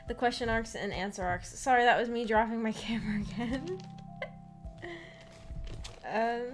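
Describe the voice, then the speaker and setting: Giggly high-voiced woman chatting on a livestream. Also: unhurried. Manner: giggly, informal, rambling with false starts. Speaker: livestream host of a visual novel book club. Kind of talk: casual livestream chat with viewers. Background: background music.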